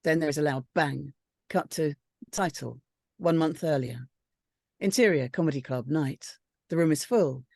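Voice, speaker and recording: A woman's voice: low voice, normal speed, long pauses, a little mellow, no echo, clean studio sound. A woman reading out screenplay stage directions as scripted narration.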